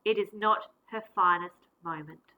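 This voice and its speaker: A woman primary school teacher reading a picture book aloud to children, with expressive, deliberate storytelling and varied emphasis. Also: fairly low pitch, rough recording.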